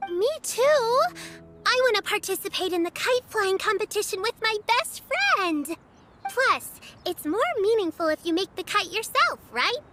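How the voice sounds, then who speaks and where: An eager, caring young girl apprentice in a fantasy game sounds bright and bubbly. Her high voice bounces lively up and down as she talks earnestly. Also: moderate pace, faint music behind, full character voice.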